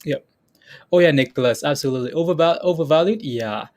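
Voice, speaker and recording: A man's voice: fairly high pitch, fast, a little mellow. A man with a slightly raised voice hosts a live market show, talking loosely and repeating himself as he answers viewers. He laughs easily and keeps checking in with his audience. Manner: chatty, repeats phrases, reads chat names aloud, laughs often. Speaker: stock trading livestream host. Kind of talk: casual live market commentary.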